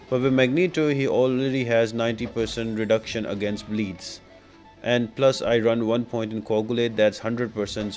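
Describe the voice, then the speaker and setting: A man's voice: on the low side, a little mellow. A man giving casual, explanatory walkthrough commentary as the host of a gaming guide. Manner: explanatory, instructional. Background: music.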